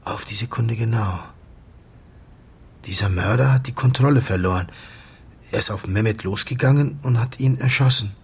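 An actor playing a grieving doctor in a radio drama, confessing in a halting, subdued voice that often sinks toward a whisper. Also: male voice, lowish voice, a little hiss or hum, natural voice. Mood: agitated confession. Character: grieving male doctor confessing to his friend's staged death. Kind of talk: German radio drama acting.

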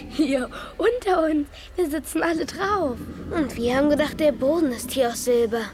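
A woman's voice: sits high, lively intonation, unhurried, a little mellow, a little hiss or hum. A woman playing a plucky, impatient little child with a cheeky, exclaiming manner in a children's radio play. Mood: giggling.

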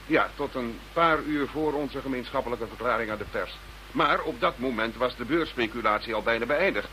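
Government minister for radio drama, male actor: formal, eloquent, insistent, forward bright tone, faint background noise. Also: mid-range voice, brisk, close to an everyday voice. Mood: measured, explaining. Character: Dutch government minister protesting his innocence to detectives. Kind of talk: radio play acting. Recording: slightly noisy radio drama recording.

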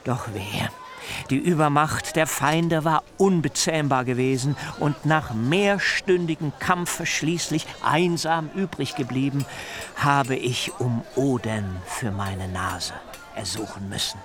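A man's voice: medium pitch, fairly bright tone, faint music behind. An actor playing a boastful, plaintive male wanderer who tells of his misfortunes in a theatrical, declamatory way for a radio drama. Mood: grandiose, dramatic storytelling. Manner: theatrical, declamatory, drawn-out lamenting. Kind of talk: literary radio drama acting.

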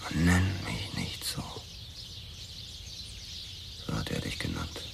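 An actor playing a bitter detective, speaking tersely and in a hushed way in a radio drama. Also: male voice, a bass voice, big pitch swings, takes it slowly, gravelly, noisy background, exaggerated voice. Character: bitter, hushed male police detective in confrontation. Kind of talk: intimate radio drama dialogue.

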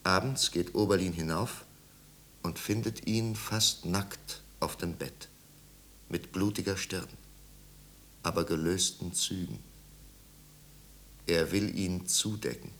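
A man's voice: low voice, slow, long pauses, a bit bright, slight background noise, exaggerated voice. A man narrating a literary tragedy in a 1950s radio play, grave and intense, with careful articulation. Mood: somber.

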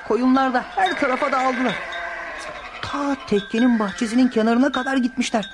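A woman's voice: high voice, steady tone, normal speed, natural voice. An actress in a radio play voicing an anxious, innocent young shepherd girl. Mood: calling, busy. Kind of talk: radio theatre monologue. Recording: noisy, outdoor sound effects behind. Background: sheep bleats and chimes.

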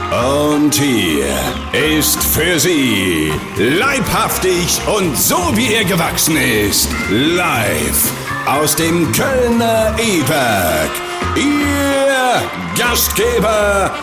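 A man giving a grand, theatrical showman's introduction with drawn-out shouted words. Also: high voice. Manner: bombastic, theatrical. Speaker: show announcer. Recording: echoey hall with loud music. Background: loud music.

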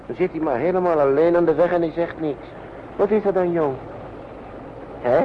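An actor playing a kindly, worried uncle in a wartime radio play, talking to children in a soothing, coaxing, hesitant way. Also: male voice, on the high side, moderate pace, natural voice. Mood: concerned, coaxing. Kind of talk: Dutch radio play acting. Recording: muffled, noisy old radio recording.

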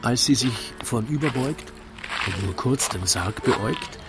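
A man's voice: mid-range voice, animated, measured pace, loud music behind the voice. A man with an Austrian accent narrates a radio play in scripted rhyming verse, in a wry storytelling manner.

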